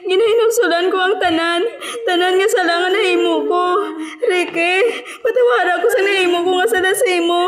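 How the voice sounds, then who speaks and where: Woman acting a high-voiced, remorseful mother. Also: fairly quick. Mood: tearful remorse. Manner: sorrowful, pleading. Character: remorseful mother begging her family's forgiveness. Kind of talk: amateur radio drama acting.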